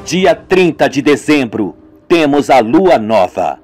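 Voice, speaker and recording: A man voicing a menacing village cult elder who speaks in a slow, threatening way. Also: medium pitch, big pitch swings, darkish tone, no room sound, soft background music, pitched below the performer's own voice. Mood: ominous.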